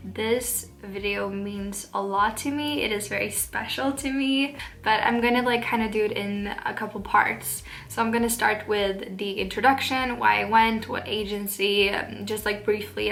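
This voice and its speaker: Swedish girl in her 20s vlogging at home, nervous and giggly, slow, kinda gravelly and bright. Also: mid-range voice. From Sweden. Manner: nervous, giggly, many fillers and restarts. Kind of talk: casual talk to camera. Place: home, indoors.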